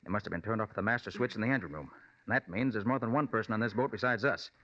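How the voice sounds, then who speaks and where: A take-charge private detective from a 1940s radio drama. His voice is forceful and fairly low, with clipped, protective authority. It comes through a muffled old recording. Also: rapid-fire. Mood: urgent, concerned.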